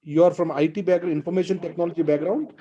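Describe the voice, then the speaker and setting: A man's voice: on the high side, steady tone, quick pace, dark tone, muffled recording, slight background noise. A man hosting an online training webinar, encouraging the attendees and repeating words of praise, with an African English accent. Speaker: webinar host. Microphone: video call.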